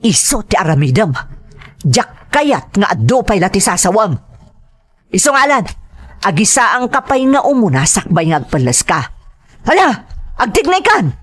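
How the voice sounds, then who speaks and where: A woman's voice: mid-range voice, sing-song, normal speed, long pauses. A woman playing a bossy, bad-tempered stepmother who scolds sharply in an Ilocano audio drama. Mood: impatient scolding.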